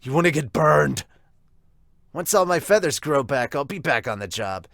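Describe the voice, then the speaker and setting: A man's voice: fairly high pitch, lively intonation, moderate pace, vocal fry, fairly bright tone, dry recording, clean studio sound. A man voicing a laid-back, teasing young male hero with wings. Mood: sly.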